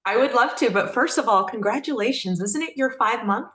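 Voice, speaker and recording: A woman's voice: fairly high pitch, lively intonation, a little fast, a bit bright. A middle-aged woman from Texas talking effusively and earnestly as an interview guest, telling stories in a casual conversational style. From Texas, United States. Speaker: interview guest, company founder. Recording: echoey room sound.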